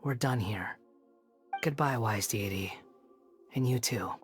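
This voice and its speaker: A man plays a proud young drifter, weary of the world. He speaks very slowly at a medium pitch, cold and resigned, in video game style. Soft music sits underneath. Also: close to an everyday voice.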